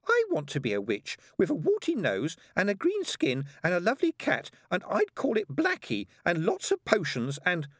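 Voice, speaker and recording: A man's voice: wildly swooping pitch, moderate pace, hardly any pauses, a bit bright, no room sound, clean studio sound, full character voice. A man voicing a stubborn six-year-old girl in a storybook, in a childish, demanding manner. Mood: wailing, wishful. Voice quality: very high squeaky child voice. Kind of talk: audiobook character voice.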